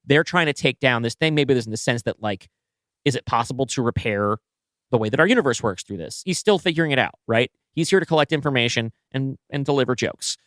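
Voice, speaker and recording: A man co-hosting a podcast, brainstorming story ideas in a casual, halting conversation as he thinks aloud. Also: mid-range voice, animated, a bit bright, no room sound, studio quality. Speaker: podcast co-host and story writer.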